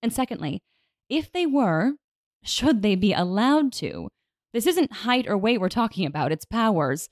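A woman's voice: medium pitch, sing-song, unhurried, no room sound, clean studio sound. A woman playing an assertive advocacy leader on a radio debate panel, articulate and lawyerly in her arguing. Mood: assertive, defensive.

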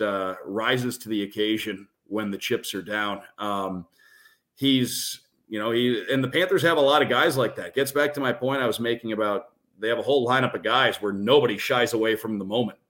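A man's voice: medium pitch, moderate pace, a bit bright. A man talking as a sports broadcaster and podcast guest, analysing games and building his points step by step with emphatic repetition. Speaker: radio play-by-play announcer, podcast guest.

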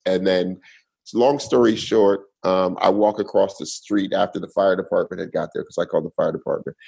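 A man's voice: low-pitched, steady tone, moderate pace, gravelly, a little mellow, slightly rough. A man telling a long personal story in a casual podcast chat, laid-back and rambling with restarts. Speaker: guest storyteller.

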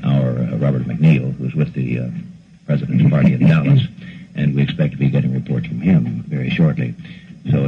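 Very deep, husky newsman, tinny old broadcast. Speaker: broadcast news correspondent. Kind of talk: unscripted live news update. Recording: tinny, narrow 1960s broadcast audio.

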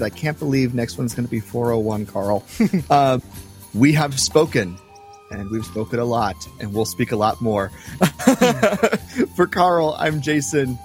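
A man co-hosting a fan podcast, chatty and upbeat, often chuckling. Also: medium pitch. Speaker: podcast co-host. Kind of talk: casual podcast chat.

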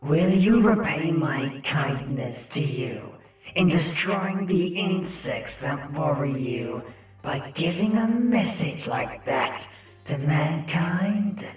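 A man voicing a scheming female crow in a folk tale, speaking slowly and pleadingly, sounding distant and echoey. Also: fairly high pitch, expressive, soft background music. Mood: reproachful. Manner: slow, pleading.

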